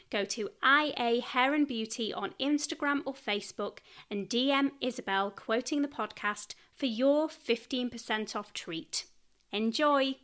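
A woman's voice: fairly high pitch, fairly bright tone. Effusive woman hosting a podcast. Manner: effusive, encouraging. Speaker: podcast host. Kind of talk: scripted intros and chatty interview.

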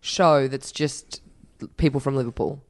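Young female co-host, low register, chatty and laughing, trips over her own sentences. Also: unhurried. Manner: giggly, rambling, self-correcting. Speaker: podcast co-host. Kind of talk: casual chat.

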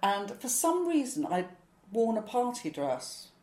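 A woman's voice: on the high side, big pitch swings, unhurried, warm tone. Chatty woman in her sixties, echoey room. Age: 60s. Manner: chatty, rambling, self-mocking, laughs often. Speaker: stand-up comedian. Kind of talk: relaxed interview answers.

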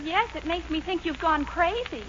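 An actress playing a hurt, indignant young American sweetheart in a melodramatic 1940s radio comedy style. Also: female voice, very high voice, animated, moderate pace. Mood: incredulous, hurt. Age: young adult. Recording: very noisy, narrow-band old radio recording.

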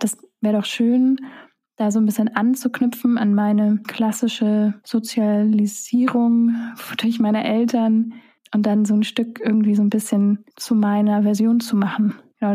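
A woman's voice: mid-range voice, little pitch movement, moderate pace, warm tone. A young woman, a singer-songwriter whose first language is German, talking casually in an interview, musing with many fillers.

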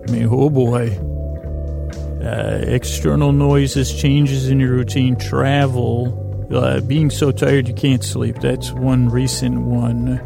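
A man hosting a podcast in a creaky voice, rambling soothingly through a halting, meandering, self-deprecating bedtime monologue. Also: mid-range voice, level tone, over music. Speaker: podcast host.

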